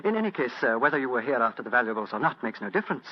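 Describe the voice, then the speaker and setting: Smug, murderous English butler, old radio mystery: formal courtesy over menace, swooping delivery, muffled recording. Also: male voice, mid-range voice, very animated, rapid-fire. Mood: gloating. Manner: formal, elaborately polite menace. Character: coldly polite, smug English butler turned murderous thief. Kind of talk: 1940s radio mystery acting.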